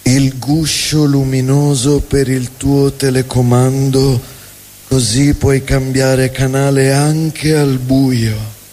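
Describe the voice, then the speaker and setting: A man's voice: medium pitch, level tone, takes it slowly, warm tone, voice pushed down, natural voice. A man playing a slick, absurdly earnest television advert announcer, delivering parody slogans on stage. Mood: slick, earnest selling. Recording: reverberant hall, live stage.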